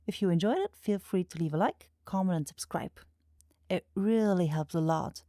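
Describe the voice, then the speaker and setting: A woman's voice: on the low side, moderate pace, mellow, no room sound, studio quality. A woman chatting casually to her listeners in a bashful, self-correcting way. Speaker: voice actor and channel creator. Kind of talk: casual outro chat to listeners.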